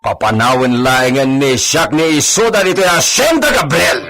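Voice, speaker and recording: An actor playing a stern, suspicious, imperious landowner in a radio drama, with a husky voice. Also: male voice, mid-range voice, lively intonation, moderate pace, close to an everyday voice. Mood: scornful, angry. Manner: imperious, ordering servants about. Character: haughty, stern, suspicious wealthy hacienda master, adult man. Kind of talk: Ilocano radio drama acting.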